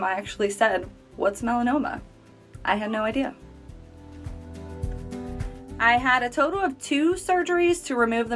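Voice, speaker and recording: A young woman in her late twenties speaks earnestly and conversationally, sharing her own experience in a testimonial. Her pitch sits at an ordinary middle height for a woman. Soft music plays quietly underneath. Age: 20s. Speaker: cancer survivor sharing her story. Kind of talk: personal testimonial.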